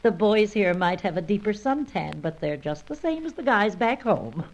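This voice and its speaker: A woman's voice: medium pitch, sing-song, normal speed, a little hiss or hum. An actress playing a breezy, carefree young woman, a chatty bird painter, in old-time radio serial style. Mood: breezy, amused.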